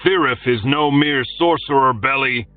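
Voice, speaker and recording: A man's voice: mid-range voice, moderate pace, no echo, far from the mic, narrow, tinny audio, soft background music, voice pushed up, natural voice. A man voicing a defiant young swordsman who cheerfully taunts his enemy.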